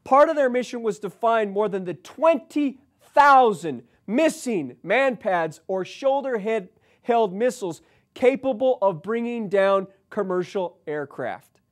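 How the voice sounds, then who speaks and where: An American man in his forties giving political commentary, reading news aloud with emphatic, halting asides and repeating himself. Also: high-pitched, lively intonation. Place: indoor studio set, seated at a desk.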